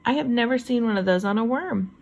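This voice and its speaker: A woman teacher reading a children's book aloud, reading deliberately and adding chatty, wondering asides about it. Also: on the high side, a little mellow, dull audio, slight background noise.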